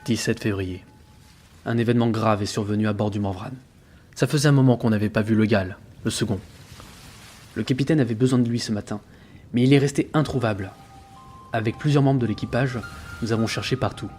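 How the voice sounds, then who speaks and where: A haunted sailor acted by a man recounts his journal in a low voice, measured and uneasy. He is rational but rattled, in the suspenseful style of audio fiction. Music plays strongly behind him. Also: a little mellow, lightly acted. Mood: grave, uneasy. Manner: tense, suspenseful, measured storytelling. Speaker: narrator and lead actor of an audio drama. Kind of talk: dramatic first-person narration, audio fiction. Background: dramatic music.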